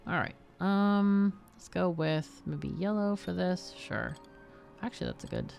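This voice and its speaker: Woman game streamer, lowish croaky voice w fry, chatty, thinking out loud, bit of music under it. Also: big pitch swings, unhurried. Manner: chatty, thinks aloud while playing. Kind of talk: casual livestream gameplay commentary.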